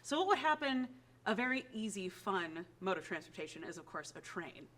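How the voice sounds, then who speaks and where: Bright-voiced enthusiastic woman. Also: medium pitch, very animated, a little fast. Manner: enthusiastic, gushing praise. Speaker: radio drama troupe member. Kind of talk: casual demonstration talk.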